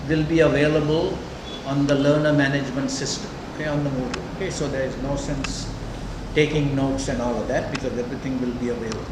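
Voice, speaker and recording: An older man touched by English, lecturing conversationally and deliberately, often checking in his students. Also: medium pitch, fairly even intonation. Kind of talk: classroom lecture with questions to students. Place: indoor lecture room. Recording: echoey lecture room, distant mic, noisy.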